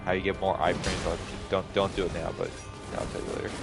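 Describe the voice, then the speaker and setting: A man with a deep voice chatting casually over voice chat while gaming, often stopping and repeating himself. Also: little pitch movement, gravelly, loud music behind the voice. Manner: halting, restarts and repeats himself. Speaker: co-op player on voice chat.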